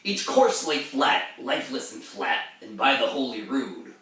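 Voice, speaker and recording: A man's voice: mid-range voice, sing-song, a little slow, bright, forceful tone, voice pushed up. A man voicing a dramatic pirate who declaims an old sea poem theatrically. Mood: awed, dramatic. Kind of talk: dramatic verse recitation. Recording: very echoey room, far from mic.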